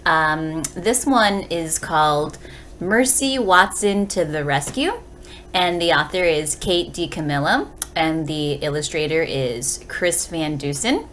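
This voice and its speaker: A woman's voice: deep voice, lively intonation, slow, fairly bright tone, a little hiss or hum. A woman teacher giving a read-aloud lesson for young children, explaining and reading as she speaks to them directly. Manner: addresses young listeners directly with simple questions. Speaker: elementary school teacher.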